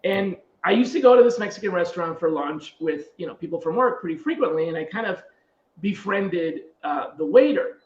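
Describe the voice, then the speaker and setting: A middle-aged man with a high-set voice tells stories in a relaxed, conversational way as a podcast host. The sound is roomy and slightly muffled, as if the microphone misses some of the detail. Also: fairly quick. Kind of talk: casual conversational anecdotes.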